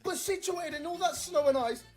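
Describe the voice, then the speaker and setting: A speaker in an excited football explainer, enthusiastic and laughing between phrases. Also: sits high, moderate pace, over loud music. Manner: enthusiastic, laughs mid-sentence.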